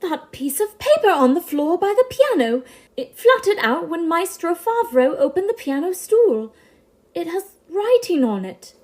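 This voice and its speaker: Actress as excitable young opera lady, extremely high pitch, swooping, tender-hearted, melodramatic, period comedy. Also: unhurried, full character voice. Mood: curious. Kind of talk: melodramatic comic audio drama acting.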